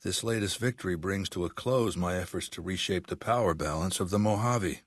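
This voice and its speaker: A ruthless male warlord from a video game, with a lowish voice in a very dry recording. He speaks deliberately and with imperious command. His sardonic, menacing manner makes it clear he expects to be obeyed. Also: dry recording. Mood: grudgingly pleased. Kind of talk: video game character dialogue.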